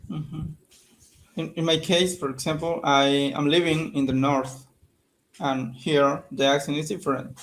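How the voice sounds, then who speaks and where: A man whose first language is Spanish, practicing English on a video call, speaking haltingly as he searches for words. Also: on the high side, fairly even intonation, fairly bright tone, rough recording. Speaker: English learner. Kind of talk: casual conversation practice.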